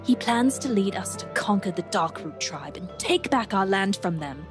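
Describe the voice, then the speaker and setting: A woman's voice: mid-range voice, expressive, normal speed, over music, close to an everyday voice. A woman playing a proud, fervent young tribeswoman, narrating her journal dramatically in an audio drama. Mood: awed, fervent.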